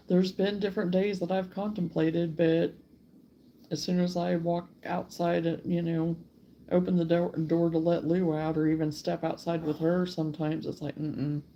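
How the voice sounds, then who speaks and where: A woman's voice: fairly low pitch, little pitch movement, warm tone, a little hiss or hum. A middle-aged woman hosting a casual live stream from her rural home, chatting and drawing out her greetings to viewers. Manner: chatty, drawn-out greetings to commenters. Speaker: host of a live crochet stream.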